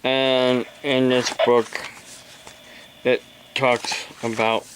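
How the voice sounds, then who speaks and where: A man talking unscripted to camera in a halting, deliberate, aggrieved way, like a homemade video monologue. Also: medium pitch, level tone, noisy background. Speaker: amateur radio operator, video blogger.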